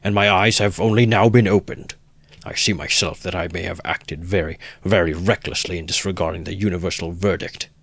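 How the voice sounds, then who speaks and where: A man voicing a pompous, self-righteous gentleman suitor in an audiobook, wordy and emphatic in his affront. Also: deep voice, fairly even intonation, fairly quick, no echo, studio quality. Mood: aggrieved, haughty.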